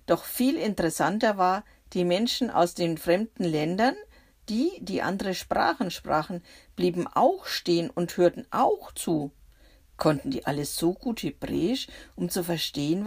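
A woman narrating a bible story for children in the first person, gentle and reflective. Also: fairly low pitch, husky.

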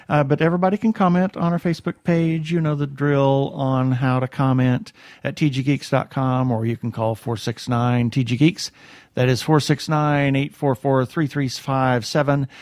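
A man's voice: mid-range voice, fairly even intonation, a little slow, darkish tone, dry recording. A man co-hosting a podcast, making announcements in a casual, chatty style. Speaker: podcast co-host.

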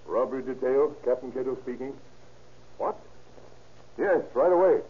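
An actor playing a shrewd, businesslike police captain with clipped speech in an old radio drama. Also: male voice, mid-range voice, normal speed, breathy edge, lightly acted. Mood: urgent. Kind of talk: 1930s-40s radio acting. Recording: muffled, noisy old radio recording.

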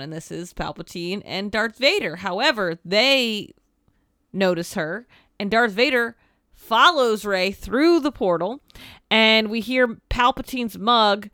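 Woman podcast co-host, casual and animated, nasal and fraying voice, dry close mic. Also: medium pitch, clear, resonant tone, dry recording. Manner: animated, swears, acts out quoted lines. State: losing her voice after a cold, stuffy nose. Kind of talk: casual chat recapping a film.

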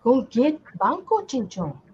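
A woman acting as a sardonic, world-weary commoner with a highish, very animated voice. Her delivery is wry and colloquial, in a homemade radio drama with slightly dull lo-fi sound. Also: slow, slightly rough, exaggerated voice. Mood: astonished, curious. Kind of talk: amateur radio drama reading.

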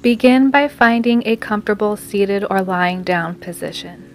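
A woman guiding a scripted meditation in a soothing, measured manner with long pauses. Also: medium pitch. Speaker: meditation guide and podcast host. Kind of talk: guided meditation, scripted narration. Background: music.

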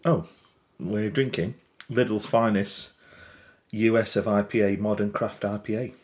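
A man's voice: fairly low pitch, fairly even intonation, measured pace, a little mellow. A young man with a Northern English accent co-hosting a casual podcast, chatty and jokey, often repeating and restarting words. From England. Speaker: podcast co-host. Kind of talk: casual chat.

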